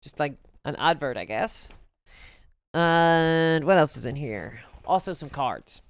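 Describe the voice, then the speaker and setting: Low-voiced, lively Belfast woman. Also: slow, no room sound. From Northern Ireland. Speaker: live streamer. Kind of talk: casual chat to a live audience.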